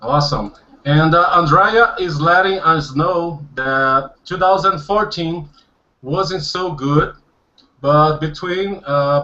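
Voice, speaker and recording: Upbeat Brazilian host on a muffled video call. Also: male voice, a little high, sharp tone. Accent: Brazilian Portuguese. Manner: upbeat, switches between Portuguese and English, laughs often. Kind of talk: casual group video call hosting. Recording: dull lo-fi video call audio.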